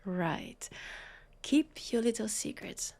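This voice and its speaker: Woman acting as world-weary vampire policewoman, French accent, husky, unhurried delivery, dry sarcasm, intimate roleplay. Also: on the high side, lively intonation, darkish tone, exaggerated voice. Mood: teasing. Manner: sardonic, sighing, unhurried. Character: sardonic, weary centuries-old female vampire police officer. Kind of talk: intimate scripted audio roleplay addressed to listener.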